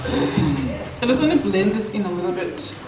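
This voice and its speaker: A young woman, chatting bubbly and excitedly through a makeup tutorial on camera in a small room with kids nearby. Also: medium pitch, unhurried. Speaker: makeup vlogger. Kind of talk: casual beauty tutorial chat. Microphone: camera in front, head and shoulders framing. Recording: roomy echo with household noise.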